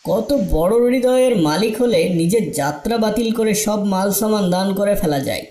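A man's voice: high voice, animated, moderate pace, warm tone. A man voicing an opinionated, needy traveller in an Islamic moral story cartoon, earnest and emphatic. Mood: admiring, insistent. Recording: echoey, reverberant room sound.

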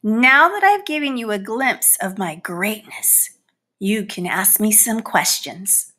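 Woman as a boastful, very animated storybook housefly. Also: mid-range voice, takes it slowly, full character voice. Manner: boastful, theatrical, scornful of butterflies. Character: boastful, butterfly-envying male housefly, a proud old father. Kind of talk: animated children's picture book read-aloud.

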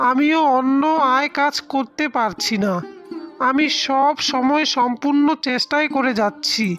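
A woman's voice: high-pitched, fairly bright tone, dull audio. A woman voicing a poor, overworked village husband in a cartoon tale, plaintive and dutiful. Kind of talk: Bengali cartoon fairy-tale voice acting.

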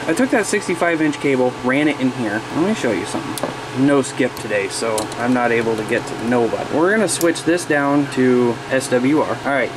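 A man in his thirties talking casually and informally, explaining things in a rambling way as a hobbyist vlogger. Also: medium pitch. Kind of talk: casual vlog chat. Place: outdoors in a yard, later a small room indoors. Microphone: camera at arm's length. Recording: noisy camera audio with background hum.

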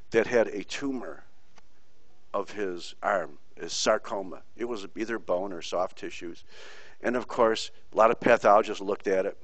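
Middle-aged male lecturer, low voice, rambling and blunt, dry close recording. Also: a bit bright, no echo. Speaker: pathology lecturer. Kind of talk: informal online lecture. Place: teaching from Puerto Rico.

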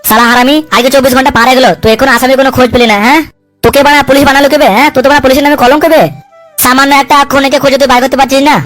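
Casting: female actor as bullying male politician for a comedy cartoon. High-pitched, rapid-fire, coarse, short-tempered. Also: little pitch movement, close to an everyday voice. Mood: furious. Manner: coarse, swearing, hectoring with repeated tag questions. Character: foul-mouthed, hot-tempered bullying male politician, threatening and domineering. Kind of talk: comic cartoon dub dialogue.

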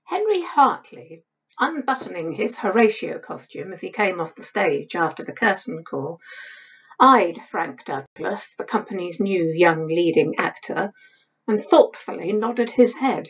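A woman in her sixties reading historical fiction aloud as the author, in an expressive audiobook style. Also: lowish voice, unhurried, slightly rough. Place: small home study, indoors. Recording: dull lo-fi webcam sound.

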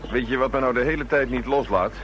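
An actor playing a calm, methodical police inspector in a radio drama, measured and matter-of-fact. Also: male voice, medium pitch, muffled recording, slight background noise, natural voice. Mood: thoughtful.

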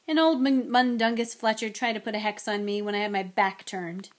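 A woman's voice: mid-range voice, fairly even intonation, fairly quick, natural voice. A woman voicing a mild, tired middle-aged father in a storybook, with a hesitant, mumbling manner. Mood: weary. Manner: hesitant, stammering, sighing, mumbling.

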